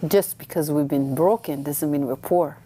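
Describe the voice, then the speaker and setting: Afghan-born woman on a talk show, low mellow voice, confident and upbeat. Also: normal speed, a little hiss or hum. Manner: confident, upbeat, laughs readily. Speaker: guest, humanitarian. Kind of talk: interview answers.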